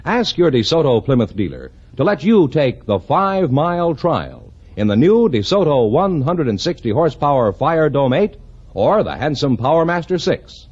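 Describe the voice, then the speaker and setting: A husky American man announces a 1950s radio commercial with an emphatic, polished pitch. His intonation is very lively, and the old broadcast sounds dull. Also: moderate pace.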